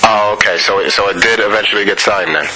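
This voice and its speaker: A man hosting a radio interview, halting and asking his guest to clarify. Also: lowish voice, steady tone, music in the background, rough recording. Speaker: radio host.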